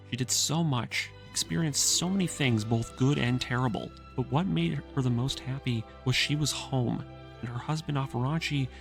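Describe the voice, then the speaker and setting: A man's voice: medium pitch, gravelly, a bit bright. A man narrating a scripted retelling and analysis as a video essayist, earnest and opinionated, with informal asides. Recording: music behind the voice. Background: background music.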